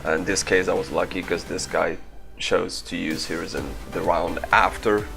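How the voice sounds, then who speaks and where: A man with a very low voice commentates on gameplay in an offhand, casual way. His speech is full of gravelly fry, and music plays clearly behind him. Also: steady tone, normal speed. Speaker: gaming video creator and event organiser.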